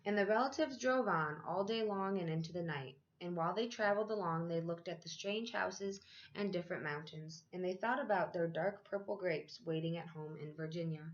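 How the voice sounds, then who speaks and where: A dull, slightly lo-fi recording carries a woman's voice on the lower side. She reads a children's picture book aloud like a schoolteacher, with measured storytelling phrasing and drawn-out emphasis on key phrases. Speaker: elementary school teacher reading aloud.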